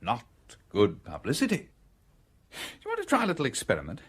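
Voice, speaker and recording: An actor playing a shrewd, coolly sardonic stranger in a radio drama, questioning directly and teasingly. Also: male voice, mid-range voice, wildly swooping pitch, moderate pace, breathy edge. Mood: probing.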